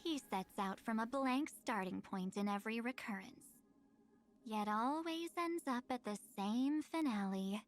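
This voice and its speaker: An actress playing a wistful, enigmatic young woman made of memory in a video game, speaking theatrically with breathy sighing phrases. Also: on the high side, a little slow, close to an everyday voice. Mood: pensive, measured. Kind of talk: scripted game character voicelines.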